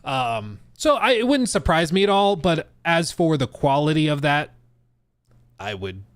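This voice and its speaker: A man's voice: on the high side, sing-song, measured pace, clean tone, no echo. Chatty man hosting a casual gaming podcast. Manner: chatty, informal, slangy. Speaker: podcast host. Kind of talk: casual livestream gaming news commentary.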